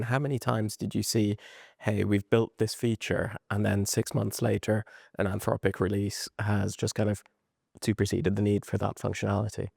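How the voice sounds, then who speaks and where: A man's voice: fairly low pitch, gravelly, darkish tone, dry recording, clean studio sound. A man hosting a conversational interview, commenting and putting questions to his guest. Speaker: interview host.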